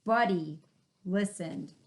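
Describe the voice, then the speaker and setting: A woman hosting a children's read-aloud, expressive and playful, pausing to explain things in casual asides. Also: mid-range voice. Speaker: story reader and host.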